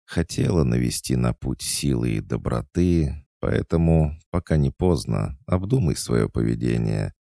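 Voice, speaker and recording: A man's voice: rumbling low, vocal fry, mellow, no echo, clean studio sound, voice pushed up, exaggerated voice. A man voicing a lonely old witch woman in an audiobook, speaking in a sighing, sorrowful way.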